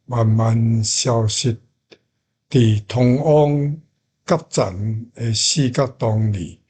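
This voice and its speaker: A man with a Taiwanese accent reading translations deliberately, phrase by phrase, as a teacher. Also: mid-range voice, a little mellow, breathy edge.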